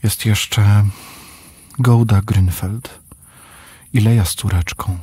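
A man's voice: fairly low pitch, steady tone, takes it slowly, warm tone, slightly rough, dry recording, clean studio sound, exaggerated voice. An actor playing an inventive, devout village father in a rhymed children's radio play. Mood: grave.

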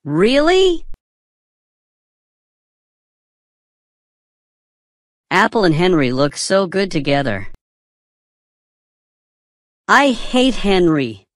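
Lady doing a whiny little cartoon schoolboy, slow n careful, swoopy pitch. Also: very animated, no room sound, studio quality. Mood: downcast. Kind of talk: slow scripted English-learning cartoon dialogue.

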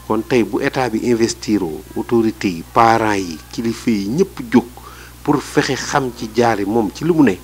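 Low-voiced Senegalese man, hesitant. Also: slight background noise. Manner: measured, hesitant with many fillers. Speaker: street interviewee. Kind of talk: street interview answer. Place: indoors in a small room.

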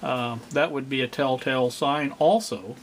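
A middle-aged man giving a casual, unscripted demonstration, halting and rambling as he thinks aloud. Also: medium pitch. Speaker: hobbyist presenter. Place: indoor home workspace.

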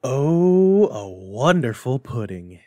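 A man voicing a warm, doting, poor but happy Victorian father in a storybook reading, heartfelt and exclaiming. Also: medium pitch, big pitch swings, takes it slowly, dry recording. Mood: delighted, tender.

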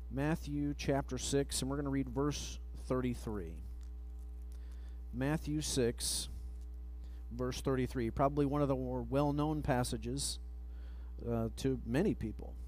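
A middle-aged man preaching a sermon at a church pulpit mic, reading scripture slowly and letting phrase ends trail off. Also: mid-range voice, darkish tone, rough recording. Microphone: pulpit microphone, far camera.

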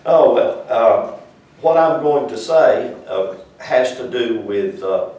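Folksy older Southern preacher, roomy echo. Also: male voice, mid-range voice, animated, takes it slowly, warm tone, dull audio. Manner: folksy, rambling, conversational with audience asides. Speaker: church teacher. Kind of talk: informal church teaching. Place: small church classroom, indoors. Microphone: far camera. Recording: echoey room from far off.

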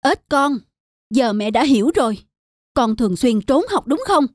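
High-voiced scolding mother frog. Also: expressive, moderate pace, no echo, clean studio sound. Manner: admonishing, moralizing. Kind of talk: children's cartoon voice acting.

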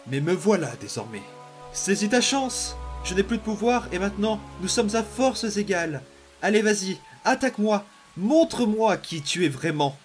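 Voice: mid-range voice, sing-song, unhurried, faint music behind. An actor voicing a vicious, obsessive young demon boy in a dramatic comic dub, taunting and theatrical. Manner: menacing, taunting, theatrical outbursts and mutters.

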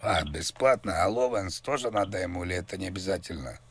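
A man making a rambling prank call, mock-serious and crude, with a husky voice. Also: sits low, lively intonation, fast talker, a bit bright. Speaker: prank caller.

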